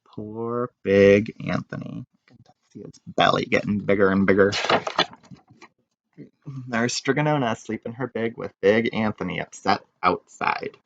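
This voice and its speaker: A man giving an animated, expressive read-aloud of a children's picture book, with informal asides. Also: lowish voice, a little slow, vocal fry, muffled recording.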